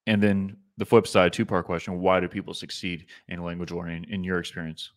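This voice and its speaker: A man hosting an interview, asking measured, probing questions. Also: sits low, flat delivery. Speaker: podcast host.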